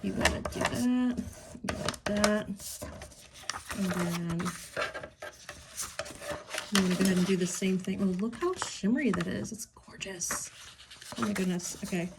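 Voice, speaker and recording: A woman with a Pittsburgh accent hosting a casual craft tutorial at home, talking in an unhurried, chatty way with many ums and small delighted asides. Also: mid-range voice, background noise.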